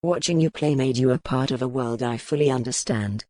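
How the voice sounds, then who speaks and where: A man acting a measured, war-weary medieval duke. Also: mid-range voice, mellow, dry recording, studio quality, natural voice. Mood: reflective, weary. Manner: measured, formal speech. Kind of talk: historical romance audiobook dialogue.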